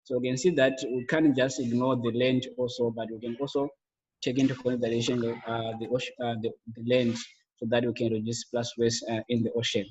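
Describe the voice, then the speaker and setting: A young man walks through his slides on a video call, hesitant and full of filler sounds. His voice stays flat and level. The audio is dull and lo-fi, with a roomy echo. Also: mid-range voice, a little fast, a little hiss or hum. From Zimbabwe. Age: young adult. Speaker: project co-founder presenting. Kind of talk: webinar slide presentation. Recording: echoey, dull lo-fi webinar audio.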